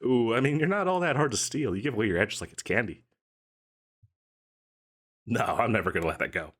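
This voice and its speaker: A man acts out an overeager salesman in a comedic audio roleplay, with a mid-pitched voice that swings up and down freely. He rambles and stammers through a chatty pitch, coy and cheerfully shady about what he is selling. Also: animated, fairly quick, fairly bright tone. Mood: admiring, amused. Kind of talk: comedic audio roleplay, one side of a conversation.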